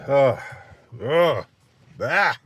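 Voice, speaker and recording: A middle-aged man with a congested, stuffy voice, rambling through a halting solo monologue full of fillers and restarts, with a wry, self-deprecating manner. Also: fairly high pitch, fairly bright tone, a little hiss or hum. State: nasally congested. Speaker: vlogger.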